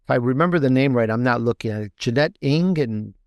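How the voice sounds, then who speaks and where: A man's voice: medium pitch, animated, fast talker, no room sound. A man speaking as a guest author in a conversational interview, explaining things in an enthusiastic, rambling way. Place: video interview with book backdrop.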